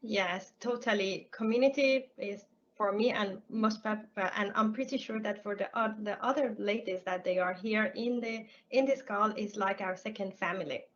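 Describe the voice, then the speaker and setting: A woman's voice: medium pitch, normal speed. A woman hosting an online developer event over a video call, talking with hesitant restarts. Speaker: session host. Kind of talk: live online event closing. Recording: muffled video-call audio with faint noise.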